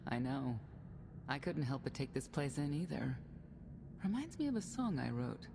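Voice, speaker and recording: Casting: candid, self-assured game knight, creaky low voice, measured, over noisy ambience. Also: female voice, very animated, heavily acted. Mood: wry, relaxed. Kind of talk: video game cutscene acting.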